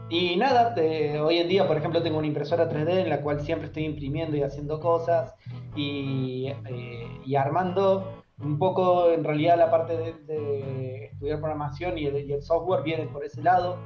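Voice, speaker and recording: A man's voice: on the high side, normal speed. Argentine man in a dull, echoey recording. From Córdoba, Argentina. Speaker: guest, software developer. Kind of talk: casual interview, telling anecdotes. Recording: dull, echoey sound with music behind. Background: guitar music.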